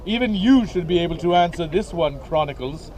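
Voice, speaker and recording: A man's voice: fairly high pitch, lively intonation, fairly quick, darkish tone, close to an everyday voice. A man voicing a stern, haughty female headmistress in a comic dramatic reading, with a pompous manner.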